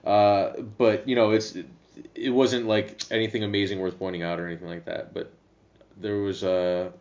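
A man's voice: on the low side, little pitch movement, normal speed, a little mellow. Rambling, swearing podcast host man. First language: English. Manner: rambling, swears casually, many restarts. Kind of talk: casual podcast chat. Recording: slightly dull lo-fi sound.

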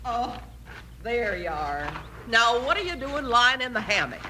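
Shrill, bossy old grandma. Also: female voice, sing-song, measured pace, sharp tone, heavily acted. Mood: nagging. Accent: American. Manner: scolding, spouts old sayings. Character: bossy, bustling, nagging old farm grandmother. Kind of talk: 1950s Western radio acting. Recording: noisy old radio recording.

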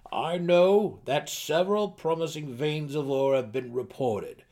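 A man voicing a commanding gnome king in a fantasy audiobook, speaking with measured, deliberate authority to calm an anxious council. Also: on the high side, pitched above the performer's own voice. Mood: commanding, decisive.

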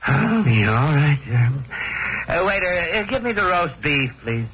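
A man's voice: on the high side, very animated, a little slow. An actor playing an exasperated middle-aged father in a 1940s radio comedy, blustering and stammering. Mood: flustered. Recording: muffled, noisy 1940s radio broadcast.

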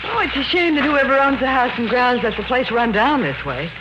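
An American actress playing a curious, uneasy wife in an old-time radio horror drama. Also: female voice, fairly high pitch, lively intonation, brisk, lightly acted. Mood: bright, curious. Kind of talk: 1940s-50s radio horror drama acting. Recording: muffled, noisy old-time radio recording.

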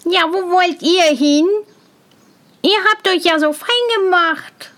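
Woman voicing a high-pitched, cheerful young simpleton lad. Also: takes it slowly, fairly bright tone, exaggerated voice. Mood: eager, boisterous. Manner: exclaiming, carefree and naive. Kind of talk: fairy tale storybook reading.

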